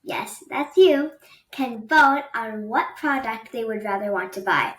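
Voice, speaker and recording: A woman's voice: a little high, animated, unhurried, a bit bright. A young woman hosting a podcast interview over a video call from her bedroom, chatty and a bit hesitant, with many ums. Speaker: podcast host. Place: bedroom, indoors. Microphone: video call with laptop mic. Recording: echoey room with faint noise.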